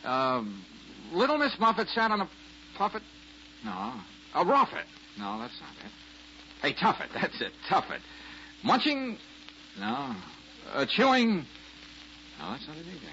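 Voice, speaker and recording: An actor playing a wry, joking detective in an old radio drama, breezy with stammering fillers. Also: male voice, high voice, huge pitch swings, a little slow, gravelly, long pauses, pushed and bright, a little hiss or hum, exaggerated voice. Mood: playacting. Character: wry, joking male detective, quick-witted. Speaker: amateur sleuth. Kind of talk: 1940s radio acting. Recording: dull lo-fi old radio recording.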